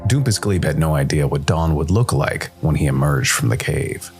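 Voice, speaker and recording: A man hosting and narrating a scripted story podcast in a measured, deliberate storytelling manner. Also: a bass voice, animated, vocal fry, over music.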